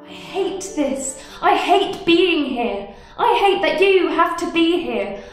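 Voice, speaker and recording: A woman's voice: very high-pitched, sing-song, slow pace, soft background music, exaggerated voice. A young woman playing a reluctant, burdened young heroine chosen to fight evil, in a dramatic monologue. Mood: weary, regretful. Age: young adult. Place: indoors before a draped sheet. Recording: very echoey room.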